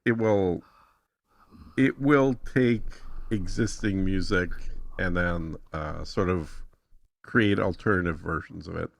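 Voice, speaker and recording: A man from Texas chatting casually as a guest, explaining things hesitantly and thinking aloud with pauses. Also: low voice, vocal fry, no echo. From Texas, United States.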